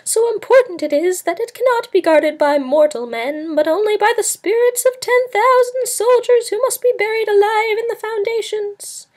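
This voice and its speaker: Woman acting a male court soothsayer, storybook character, very high pitched, screechy, pompous, crafty, bellowing proclamations. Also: normal speed, few pauses, darkish tone. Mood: screeching, grandiose. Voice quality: shrill, screechy. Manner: declamatory, bellowing.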